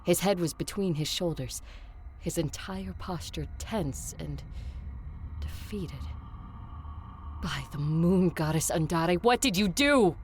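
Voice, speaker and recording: Bold vampire heroine: very low-pitched voice, blunt, sharp-tongued, confrontational, first-person audio drama. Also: female voice, lively intonation, takes it slowly, long pauses, slight background noise. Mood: angry. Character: bold, sharp-tongued female vampire conqueror, also narrating. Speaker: lead actor and first-person narrator. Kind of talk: audio drama narration and acting.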